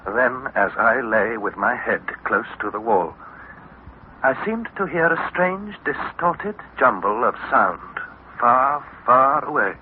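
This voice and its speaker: An actor playing an earnest young explorer, narrating a radio adventure serial in a deliberate, theatrical manner. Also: male voice, mid-range voice. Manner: deliberate, theatrical, measured delivery. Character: Harry, earnest young explorer narrating his underground ordeal. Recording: muffled, noisy vintage radio recording.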